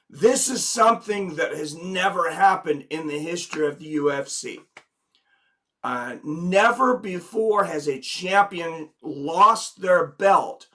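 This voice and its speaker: A man's voice: a little high, sharp tone. A man with a slight head cold, hosting a casual live stream, greeting viewers in the chat and reading a news article aloud. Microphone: desk microphone.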